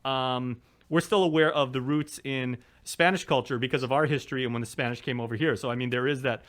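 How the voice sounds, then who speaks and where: A man's voice: medium pitch, steady tone, fairly quick, fairly bright tone. A man from Guam hosting a live news interview, upbeat and joking, with many fillers and restarts. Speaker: news show host.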